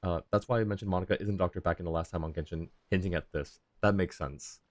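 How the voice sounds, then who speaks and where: Deep-voiced man streaming a game. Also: fast talker, darkish tone. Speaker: game livestreamer. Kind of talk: casual commentary while playing.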